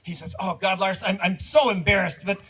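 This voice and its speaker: A man doing high-voiced Lars from North Dakota. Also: a little fast, fairly bright tone, breathy edge. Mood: deadpan. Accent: Upper Midwest. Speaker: wedding entertainer. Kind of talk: comic duo routine at a wedding.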